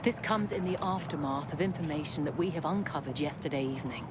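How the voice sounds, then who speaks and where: A woman playing a grave, principled radio news anchor in a game, with a solemn, measured, emphatic delivery. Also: low-pitched, heavy background noise, close to an everyday voice. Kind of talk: scripted radio news bulletin. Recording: loud music bed under voice.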